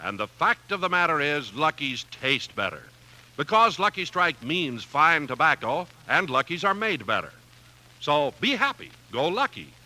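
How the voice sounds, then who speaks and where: A man's voice: a little high, very animated, normal speed, sharp tone, poor audio. A man reading scripted copy as a 1950s radio announcer. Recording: old radio broadcast, music and audience behind.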